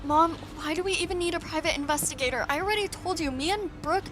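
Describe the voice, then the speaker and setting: A woman's voice: extremely high pitch, fast, a bit bright. A woman playing an anxious, sulky teenage daughter in an amateur roleplay drama, hesitant and reluctant. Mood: nervous, doubtful. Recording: music bed behind the voice.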